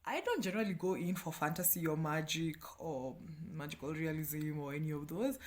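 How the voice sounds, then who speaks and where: Candid Kenyan woman, lower-pitched voice. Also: brisk. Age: 30s. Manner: animated, candid, self-deprecating, with playful asides. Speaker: book reviewer and host. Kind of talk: casual chatty book review.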